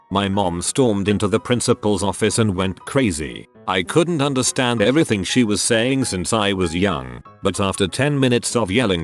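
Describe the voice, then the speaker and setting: Guy with a lowish voice reading reddit-style stories, even pace, faint music under it. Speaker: narrator reading forum stories. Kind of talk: scripted narration.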